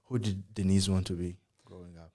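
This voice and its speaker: A man's voice: sits low, flat delivery, a little fast, dark tone. Hesitant man hosting an interview. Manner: hesitant, with many uhs and restarts. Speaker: podcast host.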